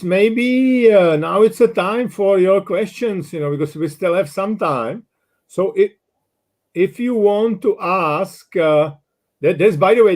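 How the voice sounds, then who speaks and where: A man's voice: high-pitched, darkish tone. A man in his fifties with a Czech accent, a non-native English speaker, co-hosting a casual live chat and taking questions, with lots of "you know" and "right". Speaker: co-host.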